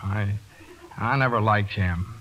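An actor playing a brash, folksy small-town dentist with a grudge in a 1940s radio drama. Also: male voice, lowish voice, slow pace, sharp tone, dull audio. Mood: resentful. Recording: old 1940s radio broadcast.

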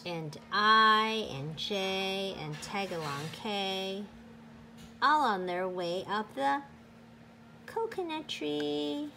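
A woman teacher reading a picture book aloud to children in a deliberate, sing-song, emphatic way. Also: medium pitch, expressive, creaky, sharp tone, slight background noise.

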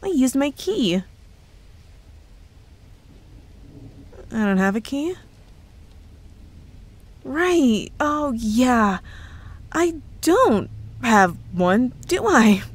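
A woman acts out a cheerful young girlfriend hiding that she is a witch. Her voice sits fairly high and she takes her time, with hesitant pauses. She is playful and evasive, putting on innocence in an intimate audio roleplay. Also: very animated, husky, heavily acted. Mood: casual, evasive. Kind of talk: ASMR roleplay, scripted one-sided dialogue.

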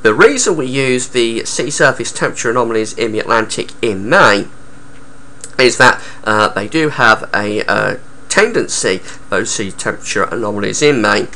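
Croaky man with a sore throat. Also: medium pitch, expressive, bright, forceful tone. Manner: halting, many uh fillers. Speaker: weather forecaster. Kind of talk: explaining weather charts.

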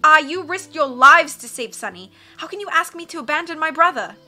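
A defensive teenage girl from a storybook , put on by a woman reader. She pleads her case earnestly and emphatically. The voice is high and very bright. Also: animated, brisk, faint music behind, heavily acted. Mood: defiant. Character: defensive, torn teenage girl with verbal tic.

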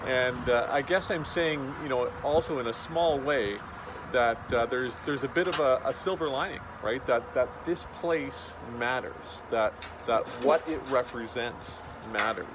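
A man in his forties giving an informal, reflective devotional message to camera like a pastor, in a halting, earnest way. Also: mid-range voice. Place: outdoors beside a road and small wooden chapel. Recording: outdoor recording with loud vehicle noise. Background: loud outdoor ambience, passing vehicles.

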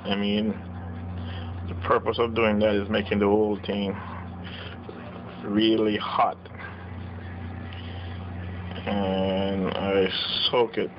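A man slowly walking through a hands-on circuit board repair at his workbench, explaining each step plainly. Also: lowish voice, gravelly. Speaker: radio repair technician. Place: workbench, indoors. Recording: dull lo-fi camera audio with noise.

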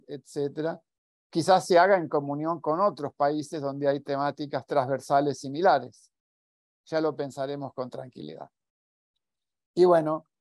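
A man in his sixties giving measured, reflective closing remarks on a video call through a headset, letting his phrases trail off softly. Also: on the high side, dry recording, clean studio sound. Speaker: academic network coordinator. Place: small indoor room at home or office.

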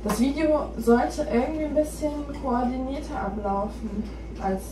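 A young woman in her twenties with a slightly high voice rambles on to her phone camera, full of little fillers. It sounds like a casual home vlog, recorded on the phone in a kitchen with plenty of noise in the background. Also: normal speed. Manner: chatty, rambling, many fillers. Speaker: vlogger. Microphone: phone camera built-in mic.

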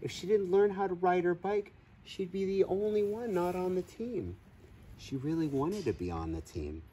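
A man in his forties reading a children's story aloud outdoors, expressive, with playful asides. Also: sits high, normal speed, slight background noise, poor audio. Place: outdoors on grass in a farm field.

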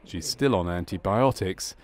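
A man reading scripted documentary narration about a medical case in a measured, clinical manner. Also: low voice.

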